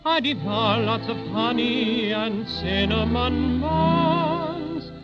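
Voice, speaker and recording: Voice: medium pitch, extremely slow. An actor playing a tiny, boastful, harmless teddy bear in 1930s radio, delivering mock-ferocious sing-song rhymes. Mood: mock-fierce, boastful. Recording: muffled 1930s recording, loud music behind.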